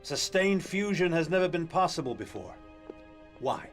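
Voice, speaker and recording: A proud, courteous scientist, voiced by a man shows off his life's work in a video game. His pitch moves a lot as he explains. Soft music plays behind. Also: animated, moderate pace, natural voice.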